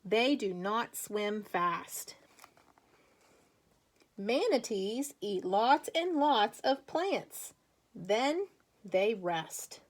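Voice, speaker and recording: A woman teacher reading aloud to young children with chatty asides, speaking deliberately and putting questions to her listeners in an encouraging way. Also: medium pitch, animated. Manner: slow, deliberate, emphatic when reading aloud.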